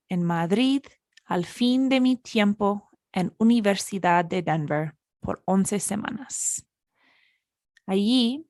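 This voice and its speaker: A woman in her thirties, a Spanish teacher and podcast host, reading a bilingual story slowly and deliberately for learners. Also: mid-range voice, no echo, studio quality. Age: 30s.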